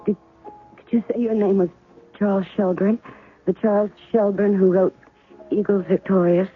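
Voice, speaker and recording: An actress playing a shrewd woman caught up in spy intrigue, asking quick, sharp questions and narrating her own story. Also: medium pitch, flat delivery, natural voice. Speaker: narrator and heroine. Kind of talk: 1970s radio drama acting. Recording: narrow 1970s radio broadcast, faint music.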